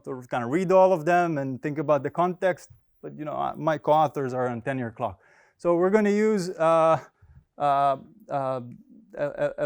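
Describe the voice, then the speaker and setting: A man's voice: fairly high pitch, clean tone. A man, a native Hebrew speaker, presenting academic research in a casual, hesitant way with many fillers and dry humor. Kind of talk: academic talk with slides.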